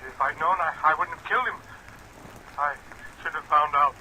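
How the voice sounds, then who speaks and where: An actor playing a cornered, unhinged killer, stammering and shaken, in a vintage radio crime drama. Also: male voice, a little high, normal speed, sharp tone, slight background noise, poor audio. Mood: stunned, remorseful. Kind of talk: mid-century American radio drama acting. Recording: old radio recording under rain sound.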